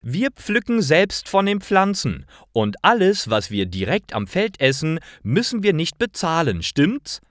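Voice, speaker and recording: A cheerful storybook mother, played by a male narrator, speaks in a raised, coaxing voice. Her lines swing brightly up and down, clearly pronounced and dry. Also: on the high side, very animated, a little slow, few pauses, no room sound, pitched above the performer's own voice. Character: cheerful mother taking her children strawberry picking. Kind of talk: storybook reading for language learners.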